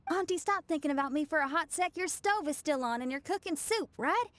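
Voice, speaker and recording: A woman's voice: high-pitched, lively intonation, a little fast, a bit bright, dry recording. A woman playing an upbeat, cheeky young female musician in a video game. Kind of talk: game voice acting. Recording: music behind the voice.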